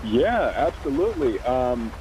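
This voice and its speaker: A man talking in a phone conversation in a halting way, often repeating his words. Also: medium pitch, sing-song, noisy background. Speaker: prank call target.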